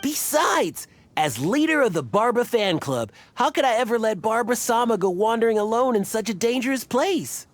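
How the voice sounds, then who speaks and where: Earnest, animated male superfan. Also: very high-pitched, moderate pace, hardly any pauses, soft background music. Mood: earnest, eager. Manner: earnest, fussy, hesitant. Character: earnest, devoted young male fan club leader. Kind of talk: video game character dialogue.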